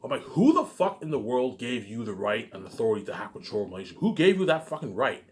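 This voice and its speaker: A man's voice: medium pitch, big pitch swings, quick pace, a little hiss or hum. A man ranting alone to his viewers, profane and boastful, who keeps circling back with repeated words. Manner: repetitive, keeps adding "obviously". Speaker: self-published writer vlogger. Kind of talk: rambling solo rant to viewers.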